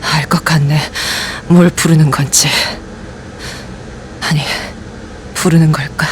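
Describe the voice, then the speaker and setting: A sardonic shaman who drawls her words very slowly and dryly. She speaks with a faint hiss of noise behind her voice in an audio drama. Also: low voice, steady tone. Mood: brooding. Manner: slow, dry and mocking. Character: weary, sardonic female shaman. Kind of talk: professional audio drama acting. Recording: loud music score underneath.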